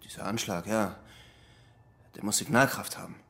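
A man's voice: lowish voice, normal speed, vocal fry, fairly bright tone, breathy edge, exaggerated voice. An actor playing an uneasy male double agent in a crime radio drama, with an understated, guarded delivery. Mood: businesslike, guarded. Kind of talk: German crime radio drama, narration and dialogue.

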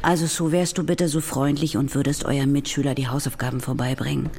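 An actress playing a strict but caring schoolteacher in a children's radio play, addressing pupils in a brisk, commanding way. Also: female voice, extremely low pitch, level tone, a little mellow, a little hiss or hum. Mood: patient, firm.

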